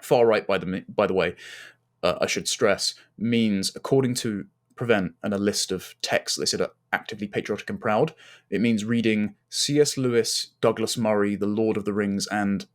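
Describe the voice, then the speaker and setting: A man's voice: on the low side, fairly even intonation, normal speed. Articulate, emphatic man. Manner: articulate, emphatic, builds long argumentative lists. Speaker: interview guest and commentator. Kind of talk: political commentary in a podcast interview.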